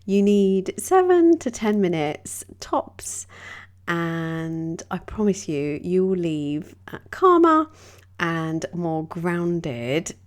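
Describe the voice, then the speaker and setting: A woman hosting a wellbeing podcast, speaking gently with a slightly croaky voice. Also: lowish voice. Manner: soft, gentle, unhurried delivery with drawn-out phrases. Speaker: yoga and wellbeing podcast host. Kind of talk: reflective wellbeing talk.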